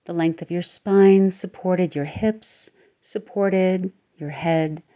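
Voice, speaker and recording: Low, soothing woman guiding a meditation. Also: steady tone. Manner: slow, soothing, drifting into soft near-whispers. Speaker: meditation guide. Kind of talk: guided relaxation meditation. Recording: slightly dull lo-fi recording.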